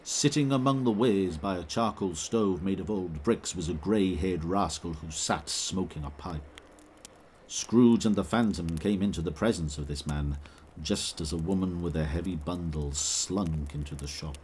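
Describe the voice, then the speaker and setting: A man giving solemn, measured scripted narration for an audio drama. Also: sits low, darkish tone, a little hiss or hum.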